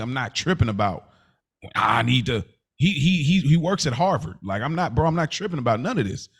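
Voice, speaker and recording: A man's voice: medium pitch, a bit bright, husky. A man with a mid-range voice argues his side as a podcast co-host. He is earnest and slangy, doubling back on phrases in a loose, conversational debate. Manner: earnest, argumentative, repeats himself, slangy. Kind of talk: casual debate.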